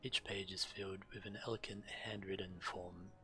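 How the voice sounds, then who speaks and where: A man's voice: deep voice, little pitch movement, normal speed, pushed and bright, a little hiss or hum. A man streaming a video game, reading quest text aloud and adding casual commentary. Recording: game music playing behind. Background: video game music.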